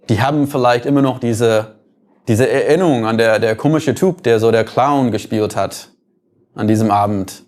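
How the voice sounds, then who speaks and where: A man with an American accent lectures in an ordinary mid-range voice. He talks thoughtfully and pauses now and then to find his words. He works through his points with everyday examples. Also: medium pitch, a little mellow. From United States. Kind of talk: explanatory lecture.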